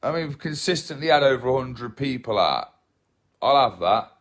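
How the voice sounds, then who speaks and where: A man in his thirties from England, hosting a casual livestream from his phone at home, soft-spoken and rambling, often repeating himself as he reads comments. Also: mid-range voice, moderate pace. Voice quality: relaxed voice. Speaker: livestream host. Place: small living room at home, indoors. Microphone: phone held at arm's length.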